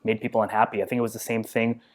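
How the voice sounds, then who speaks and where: A man's voice: fairly low pitch, level tone, very fast. A man in his thirties, guest on a podcast, talking casually and thoughtfully, often with "like" and "you know". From India. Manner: thoughtful, self-deprecating, filler-heavy. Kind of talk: casual interview conversation.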